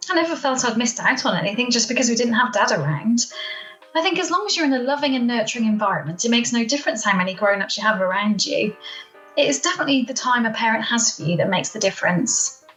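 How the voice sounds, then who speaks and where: A woman's voice: fairly high pitch, animated, fast, fairly bright tone, rough recording. A woman playing a kindly, earnest grown-up daughter in a radio play. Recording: video call recording with music.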